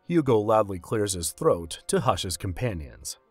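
Deep, husky male story narrator. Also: sing-song, normal speed, darkish tone, no echo, faint music behind. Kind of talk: scripted fantasy story narration.

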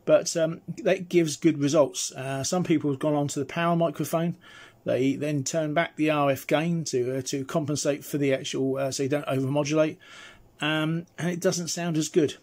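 A middle-aged man with a slightly high-pitched voice presents a casual hands-on review. He is chatty and explains each feature as he goes, like someone showing his gear at home. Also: steady tone, normal speed, a little mellow.

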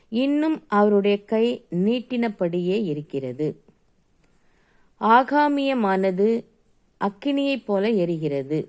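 A woman reading Bible verses aloud solemnly, phrase by phrase, in a measured and deliberate manner. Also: medium pitch, darkish tone, clean tone. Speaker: scripture reader.

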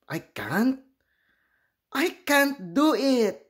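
A man's voice: extremely high pitch, very animated, drawn-out words, a bit bright, voice pushed up, heavily acted. A man voicing an eager, easily frustrated young yeti schoolboy from a storybook, in a childlike, dramatic, exclaiming way.

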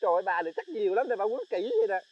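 A man's voice: high voice, racing, dull audio. A man playing a fawning bandit sidekick who nags and complains at his boss. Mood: grumbling. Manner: flattering, then whiny nagging complaints. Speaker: comedy sketch actor. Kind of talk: comic sketch acting.

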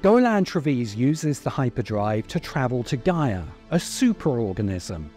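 A man's voice: medium pitch, big pitch swings, a little slow, dark tone, soft background music. A middle-aged man hosting a video essay, delivering scripted narration into a close microphone. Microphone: close microphone on a stand in front of him.

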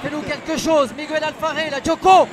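Male football radio commentator, very high voice, exuberant, over loud stadium crowd. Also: level tone, normal speed. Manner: exuberant, passionate, often shouting. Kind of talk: excited live match commentary. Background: noisy football stadium crowd.

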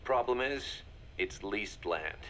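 A man's voice: fairly low pitch, animated, slow pace, bright, forceful tone, slight background noise, heavily acted. A man acting as a brash, hard-nosed military second-in-command in a video game, blunt and colloquial. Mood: urgent, serious. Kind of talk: game voice acting, briefing dialogue.

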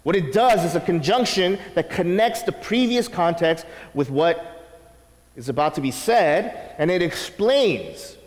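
A man in his thirties preaching a sermon from the stage of a church sanctuary, teaching with lively asides. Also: high voice, measured pace. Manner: animated teaching with acted quotes and asides. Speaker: pastor. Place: church sanctuary, large indoor room. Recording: long reverb of a church hall.

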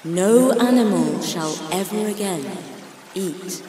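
A man's voice: lively intonation, slow, a crowd in the background. A man voicing a solemn herald making a grand proclamation to the woodland animals in a storybook. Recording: booming echo, noisy ambience.

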